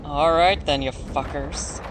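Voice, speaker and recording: A man's voice: fairly high pitch, steady tone, takes it slowly. Guy doing cocky young fighter girl, mean n taunting, sweary, audio drama, music behind. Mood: impatient aggression. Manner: taunting, foul-mouthed. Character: cocky, vicious young woman brawler.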